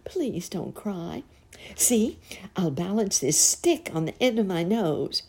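A kindly little clown man, acted out by a woman storyteller, earnest and eager to cheer. He talks in a very husky voice with animated, swooping pitch and a coaxing manner. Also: measured pace, full character voice.

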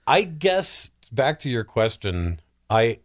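A man's voice: medium pitch, very animated, takes it slowly. A man in his forties chatting casually on a podcast panel in a self-deprecating, joking way. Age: 40s. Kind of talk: casual chat.

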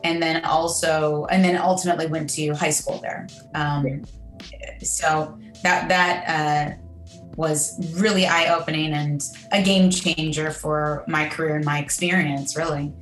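A woman from Michigan, an actress, chatting in an interview in a lively way that is quick to laugh. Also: deep voice, echoey room, faint music behind, rough recording. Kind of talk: casual interview.

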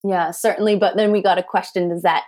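A woman's voice: fairly low pitch, fairly even intonation, fast talker, a little mellow. American woman academic musing aloud. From United States. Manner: thoughtful, asks rhetorical questions, frequent restarts. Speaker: tourism academic guest. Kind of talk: conversational interview.

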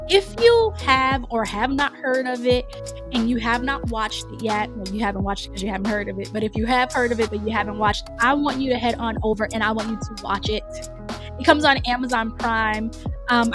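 A woman hosting a casual solo podcast, a little nasal from a cold, talking in a chatty, rambling way with restarts. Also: fairly high pitch. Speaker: podcast host.

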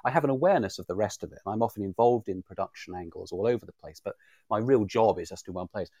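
A man's voice: fairly low pitch, fast, warm tone, dry recording. A man conducting an informal interview as a fellow writer, circling into his points with hesitant repeated starts. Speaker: audio drama writer acting as interviewer.

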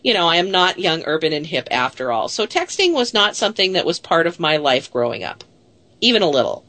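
A woman's voice: sits low, big pitch swings, brisk, fairly bright tone, no room sound. A woman in her late forties hosting a casual radio talk show, chatty and self-deprecating, laughing easily. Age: 40s.

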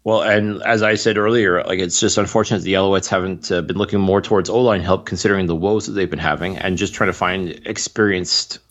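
A man's voice: low voice, steady tone, a little fast, few pauses, a bit bright, lo-fi sound. A man from Montreal co-hosting a casual sports podcast, talking at length with opinionated, analytical takes on football. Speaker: podcast co-host.

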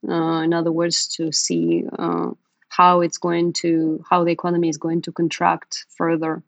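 A woman's voice: fairly low pitch, normal speed, no echo. A woman from Russia answering in an interview, hesitant and often searching for her words.

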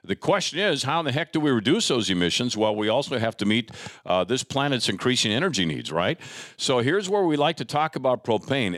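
An American man talks like a chatty podcast host. His delivery is animated and folksy, rolling through asides and questions. The sound is close and dry. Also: medium pitch, no room sound. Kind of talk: casual interview and conversational sponsor read.

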